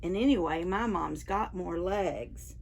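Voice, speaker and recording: A woman's voice: fairly low pitch, a little slow, darkish tone, voice pushed down. A woman voicing an anxious, indignant little monkey child in a picture-book read-aloud for preschoolers. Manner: indignant, emphatic protests.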